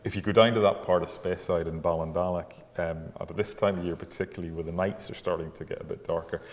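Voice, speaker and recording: A middle-aged man from Ireland with an Irish accent tinged with Scottish, talking casually and haltingly as a distillery manager being interviewed. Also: low voice, fairly even intonation. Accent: Irish with some Scottish. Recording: long echoing reverb, faint background noise.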